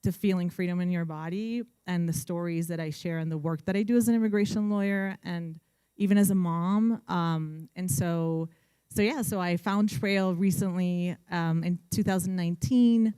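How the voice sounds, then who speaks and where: A woman's voice: on the low side, normal speed, warm tone, smooth, no echo. A woman from Colombia, reflecting on her own story as an interview guest. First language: Spanish. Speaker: guest, runner and immigration lawyer. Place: live event stage.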